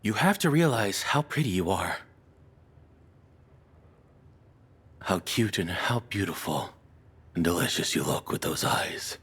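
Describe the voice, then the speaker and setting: A man performs a lovestruck, unhinged yet apologetic male captor in an intimate audio drama. His voice sits low and is very breathy and rough-edged. He rambles and stammers nervously, his intonation swinging widely as he goes. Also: fairly bright tone, full character voice. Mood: enamoured, hungry. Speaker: audio roleplay voice actor.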